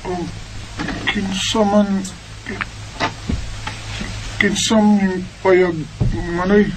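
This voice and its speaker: A man acting an ailing, weary young brother in a Bisaya radio drama, with a halting, groaning, weak delivery. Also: sits high, little pitch movement. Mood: grateful. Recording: noisy background behind the voice.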